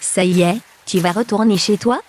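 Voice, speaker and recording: A woman's voice: mid-range voice, moderate pace, a little mellow, slight background noise, natural voice. A woman playing a polite young newcomer held captive on an island, in an audio drama.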